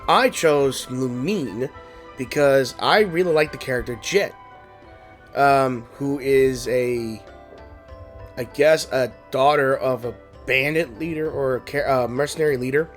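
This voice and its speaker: A man's voice: mid-range voice, measured pace. A man doing casual let's play commentary and reading along, with wry, joking asides. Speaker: gaming video creator. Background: game music.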